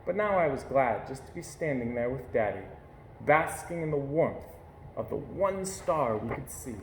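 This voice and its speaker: A man reading a children's picture book aloud with gentle, measured storytelling. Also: medium pitch, expressive, vocal fry. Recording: echoey room with faint hiss.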